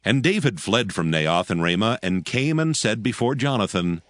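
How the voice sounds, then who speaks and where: A man narrating a dramatized scripture reading in a solemn, measured storytelling manner. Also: fairly low pitch, expressive, dry recording.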